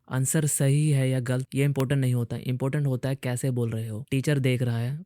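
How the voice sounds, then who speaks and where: A man's voice: mid-range voice, dark tone, no echo, clean studio sound. A man hosting a scripted explainer, speaking methodically point by point and mixing in English terms. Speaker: host of a speech-coaching video series. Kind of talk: scripted explainer spoken straight to viewers.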